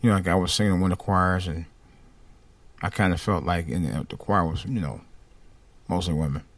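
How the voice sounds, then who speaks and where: A man talking casually about his own life, rambling and restarting phrases, with a light voice that has little bass in it. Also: brisk, dry recording. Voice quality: light voice without much bass. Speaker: podcast host. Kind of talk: casual personal monologue.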